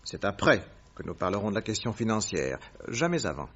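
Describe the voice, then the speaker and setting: An actor playing a self-assured, courteous detective in a French radio drama, explaining and commanding firmly on a dull old recording. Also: male voice, fairly low pitch, big pitch swings, brisk, a bit bright, full character voice. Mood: brisk, businesslike. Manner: assured, didactic, courteous but firm.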